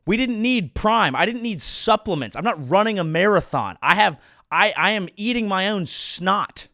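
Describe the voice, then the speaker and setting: A man's voice: high-pitched, animated, normal speed, pushed and bright, dry recording, clean studio sound. A man in his thirties hosting a comedy show, delivering a sarcastic monologue with mock-outraged rants. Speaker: comedian host.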